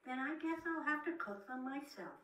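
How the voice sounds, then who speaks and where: A woman's voice: high-pitched, lively intonation, moderate pace, fairly bright tone, a little hiss or hum, voice pushed up. An older woman voicing a hard-working, stubborn little burro who calls on friends in a children's storybook. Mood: resigned, stubborn. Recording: echoey room, distant microphone.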